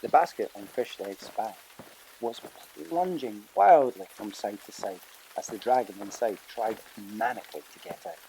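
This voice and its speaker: A man's voice: fairly low pitch, normal speed, creaky, mellow, slight background noise, poor audio. A man reading a children's adventure audiobook as a scripted narrator.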